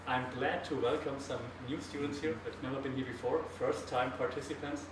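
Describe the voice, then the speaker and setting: A man from Germany hosting a casual student hangout, upbeat and quick to laugh. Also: mid-range voice, steady tone, noisy background. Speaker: host. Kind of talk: casual chat. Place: classroom. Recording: echoey room, far from the mic.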